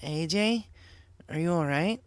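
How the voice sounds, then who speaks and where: Guy doing a brisk girl pony, a bit higher, casual but worried, storybook reading. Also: clean tone, dry recording, natural voice. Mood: puzzled concern. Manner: casual, urgent questioning. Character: concerned, brisk young female pegasus pony.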